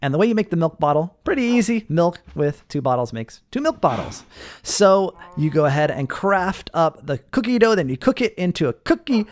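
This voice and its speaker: Male game showcase host, higher-pitched, very lively intonation, casual and explanatory. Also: moderate pace. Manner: explanatory, addressing viewers as guys. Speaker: host of a Minecraft mod showcase. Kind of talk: casual gameplay commentary.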